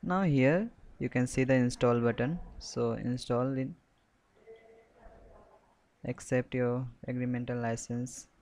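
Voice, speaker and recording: Slow, flat-toned man giving a tutorial. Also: mid-range voice, slight background noise. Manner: halting, repetitive, instructional. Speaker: software tutorial presenter. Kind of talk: step-by-step screen tutorial, unscripted.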